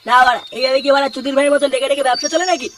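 Someone playing a whiny high-voiced cartoon tea seller. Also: level tone, very fast, fairly bright tone. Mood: grumbling. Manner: whining, repetitive, pleading. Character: poor, pleading, put-upon street tea seller, an older uncle. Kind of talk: Bengali comedy cartoon voice acting. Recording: noisy, distant pickup with clicks.

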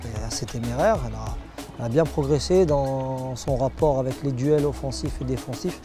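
A man, a coach, giving a measured assessment of a player. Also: medium pitch. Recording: music playing behind.

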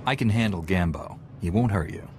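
Deep, composed heroic knight played by a man. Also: lightly acted. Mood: calm, firm. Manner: measured, composed, firm. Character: calm, stern male Jedi knight hero. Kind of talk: video game dialogue. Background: loud music.

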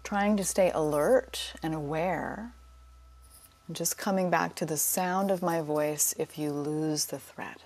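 Low, slow-spoken woman guiding meditation. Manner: soothing, unhurried, gently inviting. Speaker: yoga nidra teacher leading a guided relaxation. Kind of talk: guided meditation.

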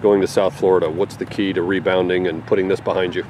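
Male sports interviewer, low voice, measured questions, faint field noise. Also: flat delivery.

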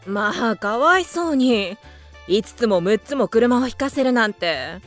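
An actress playing a genteel, gently scolding mother in a children's radio drama. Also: on the high side, animated, a little slow, soft background music. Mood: gentle scolding.

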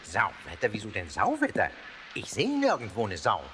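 An actor playing a jolly, cheeky little castle ghost in a children's radio play, exclaiming playfully. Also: male voice, fairly low pitch, wildly swooping pitch, moderate pace, a bit bright, slight background noise. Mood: playful, puzzled. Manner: exclaiming, playful, swooping delivery. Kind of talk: children's audio drama acting.

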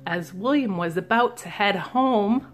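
Woman, children's librarian, unhurried storytime reading, mid pitch, questions for kids. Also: a little hiss or hum. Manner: slow, gentle and questioning, pausing to ask listeners. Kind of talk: reading a picture book aloud to children. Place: indoors at a table, camera above the book.

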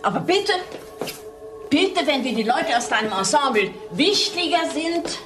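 A woman's voice: sits high, big pitch swings, unhurried, over music. An actress playing a proud, possessive elderly mother in a crime radio play, reproachful and guarded. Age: older. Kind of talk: radio crime drama acting.

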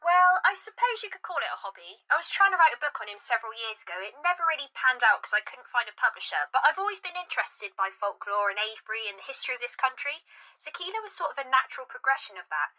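An actress playing an eager folklore enthusiast talking on a call. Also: female voice, high-pitched, sing-song, quick pace, sharp tone, full character voice, poor audio.

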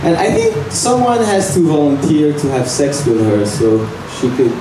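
A young man from Algeria doing a stand-up set at an open mic, delivering jokes deadpan with halting fillers and nervous laughs, on a handheld mic in a small bar. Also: on the high side. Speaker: stand-up comic. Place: small bar stage indoors. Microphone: handheld mic, filmed from far camera. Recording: echoey room from far off, noisy.

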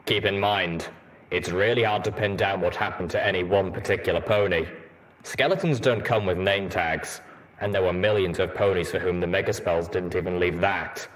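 A man voicing a blunt, world-weary armored pony soldier in a measured, matter-of-fact way for a storybook reading. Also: low-pitched, lightly acted, low-quality recording. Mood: grave, matter-of-fact.

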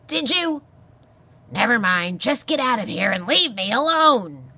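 A woman's voice: fairly high pitch, big pitch swings, measured pace, bright, forceful tone, breathy edge, voice pushed up, exaggerated voice. A woman voicing a grumpy old male porcupine in a storybook, barking scornful insults in a growling bluster.

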